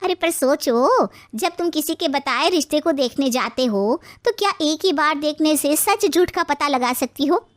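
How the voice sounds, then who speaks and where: A woman's voice: sits high, expressive, moderate pace, few pauses, no room sound, clean studio sound. A woman playing a broad-minded middle-aged friend in a moral story, reasoning in a persuasive, reassuring way. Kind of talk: acted moral story dialogue.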